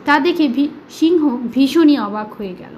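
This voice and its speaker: A woman with a high voice tells a children's story. Her delivery is slow, expressive and dramatic, each phrase set out deliberately. Faint noise sits behind the voice. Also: dull audio.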